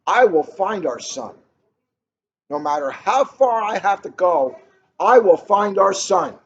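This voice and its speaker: Man acting an anguished, declaiming farmer father, loud and high. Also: sing-song, slow, vocal fry, full character voice. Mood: defiant. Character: impulsive, anguished farmer father hunting his lost son. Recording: noisy, dull lo-fi recording.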